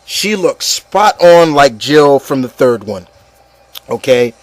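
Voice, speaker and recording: A man's voice: medium pitch, expressive, takes it slowly, no echo, poor audio. A man hosting an opinion show, chatting casually and informally to his listeners and co-hosts. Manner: informal, conversational, addressing listeners as guys. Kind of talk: casual opinion chat about movies.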